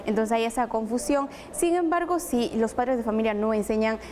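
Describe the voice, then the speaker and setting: A woman's voice: on the high side, normal speed, darkish tone. A woman presenter from Apurímac, Peru, whose first language is Quechua, telling her own story earnestly in an interview. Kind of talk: personal interview testimony.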